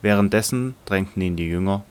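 A man narrating a scripted Bible audio drama in a measured storytelling manner. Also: deep voice, smooth.

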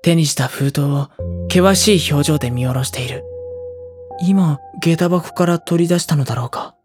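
A man's voice: on the high side, normal speed, music in the background, natural voice. An actor playing an anxious, introspective teenage schoolboy, narrating his brooding inner thoughts in a Japanese audio drama. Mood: tense, brooding.

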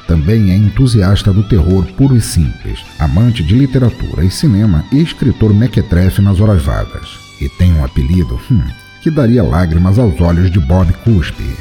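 A man hosting an audio drama podcast and narrating a horror story in an expressive, dramatic reading style. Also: sits low.

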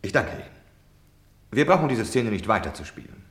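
A self-justifying, defiant German man in a postwar radio drama. His lowish voice swoops widely as he moves from hushed confession to loud declamation. The sound is echoey and roomy. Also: big pitch swings, fairly quick. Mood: fanatical, rising. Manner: theatrical, declamatory, swinging from hushed confiding to shouting.

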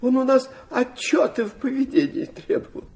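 An actor playing a sentimental, flighty old Russian prince in a radio play, rambling and hesitant, stammering and dropping in French phrases. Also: male voice, very high voice, animated, normal speed, creaky, a little mellow, exaggerated voice. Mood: flustered, evasive. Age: older.

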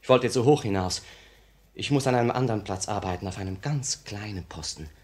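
A man's voice: lowish voice, fairly quick, natural voice. Man acting an anguished, worn-out revolutionary. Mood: despairing, agitated. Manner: confessional, anguished, dramatic swings from hushed to outbursts. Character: tired, frightened, ashamed male revolutionary bomb thrower. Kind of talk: 1950s German radio play acting.

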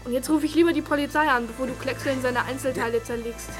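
A woman's voice: high voice, steady tone, quick pace, music in the background, close to an everyday voice. An actress in a German audio drama playing a plucky, sensible teenage girl with a dry manner. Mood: dry, resolute.

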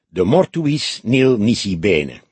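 An old police detective, played by a male audiobook reader, speaks with deliberate calm and dry wit. His voice is very husky and on the low side, recorded close and dry. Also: no room sound, exaggerated voice. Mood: wry. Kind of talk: audiobook character dialogue.

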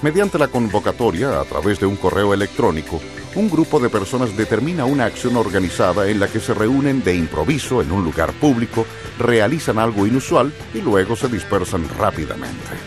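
A male narrator reads educational copy in a low voice. His intonation moves animatedly, and music plays clearly underneath. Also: big pitch swings, fairly quick.

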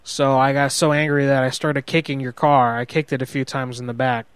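A man's voice: medium pitch, flat delivery, clear, resonant tone, no room sound, close to an everyday voice. A man playing a hot-headed, sheepish husband in an improvised prank phone call, rambling and justifying himself as he goes. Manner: halting, rambling, self-justifying.

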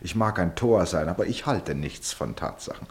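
Deep-voiced, musing eccentric sleuth. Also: male voice, animated, moderate pace. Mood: musing, insistent. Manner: musing, theatrical, emphatic. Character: eccentric, philosophical, amused male sleuth. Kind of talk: German radio play acting.

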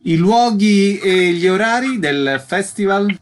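A man hosting a radio program, with a voice pitched slightly above average. He asks brief, direct questions and moves the conversation along. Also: lively intonation, slow pace. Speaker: radio host.

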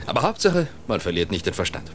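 A man's voice: lowish voice, sing-song, fast talker. An actor playing an easygoing, bantering man from a 1950s small town in a radio drama, teasing and chuckling as he talks. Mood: amused, probing. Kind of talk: naturalistic German radio drama dialogue.

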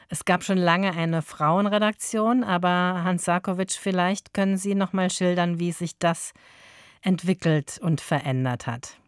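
A woman presents a cultural radio programme in a fairly low voice. She is composed and articulate, recorded in a dry studio. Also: smooth, no echo. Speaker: radio host.

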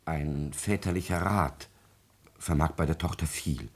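Pompous, husky secretary. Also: male voice, rumbling low, a little slow, exaggerated voice. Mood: pompous, offended. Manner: formal, pompous. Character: stiff, self-important secretary and indignant suitor. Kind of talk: classic German stage drama radio acting.

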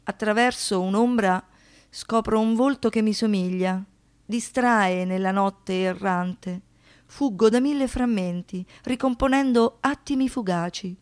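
A woman's voice: mid-range voice, unhurried, lightly acted. An actress playing a traumatised young woman who survived a brutal assault, in a poetic, declamatory radio monologue, anguished and defiant. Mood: haunted, lyrical. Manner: dramatic, swinging between hushed reflection and impassioned outbursts.

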